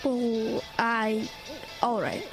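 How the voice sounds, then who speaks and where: A woman playing a wary, bookish younger teenage brother who speaks in a hesitant, halting way in a horror radio drama. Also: a little high, little pitch movement. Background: music score.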